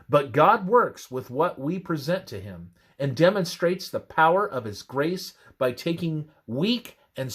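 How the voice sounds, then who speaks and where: Slow, expressive man reading devotional narration. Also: on the high side. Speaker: narrator reading a religious presentation.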